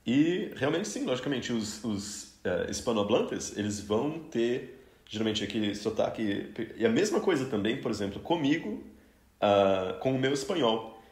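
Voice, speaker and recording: A man's voice: mid-range voice, sing-song, moderate pace, reverberant. A man in his thirties from Brazil hosting a casual interview, chatty, with frequent restarts and easy laughter. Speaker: podcast host interviewing a guest.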